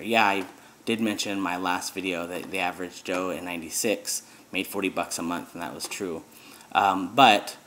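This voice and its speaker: A man's voice: deep voice, a bit bright, slight background noise. An American man who grew up abroad, talking casually in a rambling way with many fillers and restarts, as host of his own video. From United States. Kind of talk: casual vlog talk.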